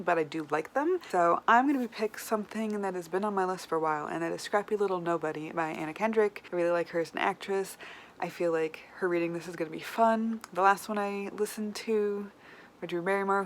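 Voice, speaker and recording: A woman with a medium-pitched voice talks casually to her viewers in the style of a book vlog. Her delivery is animated and conversational, with self-deprecating asides. Noticeable room noise sits behind her voice. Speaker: book vlogger. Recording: clear background room noise.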